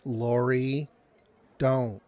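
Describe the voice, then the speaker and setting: A man playing a cautious, caring young man with a gentle, hesitant manner in an audio drama. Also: mid-range voice, flat delivery, very slow. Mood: pained.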